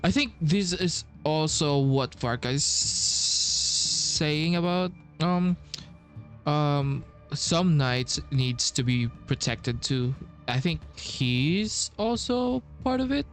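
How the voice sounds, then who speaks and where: A man's voice: on the high side, expressive, takes it slowly, fairly bright tone, soft background music. A man giving casual playthrough commentary as a game streamer, hesitant and thinking aloud.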